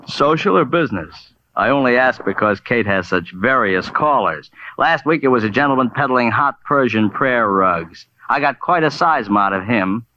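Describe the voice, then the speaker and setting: An actor playing a flippant, hip laboratory assistant with an arch, glib manner, in old-time radio drama. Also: male voice, medium pitch, animated, moderate pace, few pauses, pushed and bright. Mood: flippant, teasing. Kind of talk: 1940s-50s radio drama acting. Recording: dull, faintly noisy old radio recording.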